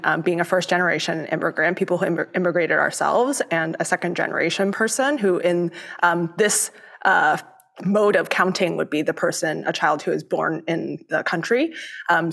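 A young woman, born in Hong Kong and raised in Florida, speaking as a lay youth minister in an interview, thoughtful and pausing often with ums. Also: fairly low pitch, gravelly, fairly bright tone. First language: Cantonese. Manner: thoughtful, with many ums and pauses. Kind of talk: interview conversation.

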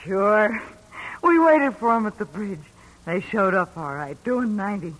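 A woman's voice: mid-range voice, animated, measured pace, low-quality recording. An actress playing a jilted, bitter, slangy young woman in dramatic 1940s radio style. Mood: spiteful, gossipy.

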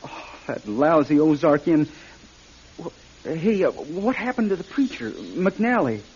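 An actor playing a scared, suspicious young man in a radio drama, speaking in an anxious, halting stammer. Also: on the high side, big pitch swings, a little mellow, husky, full character voice. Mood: puzzled, indignant. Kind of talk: audio drama acting, first-person narration and dialogue. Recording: dull old radio drama, music behind.

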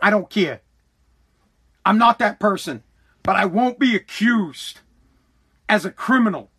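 A man's voice: high-pitched, animated, unhurried, a bit bright, husky. An American man hosting a podcast, delivering an opinionated solo rant in a combative, emphatic, repetitive and profane manner. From United States. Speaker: podcast host.

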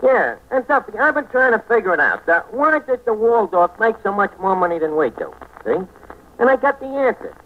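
An actor playing a bumbling, scheming tavern manager, hemming and hawing in a 1940s radio comedy. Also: male voice, high voice, sing-song, brisk. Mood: eager, bumbling. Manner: hesitant, hemming and hawing, malapropisms. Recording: scratchy, narrow-band 1940s radio recording.

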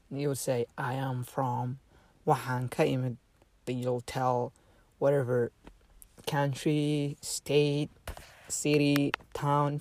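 Slow, halting male language teacher. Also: mid-range voice, a little mellow. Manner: halting, many fillers and restarts, repeats phrases slowly for learners. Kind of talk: casual online language lesson.

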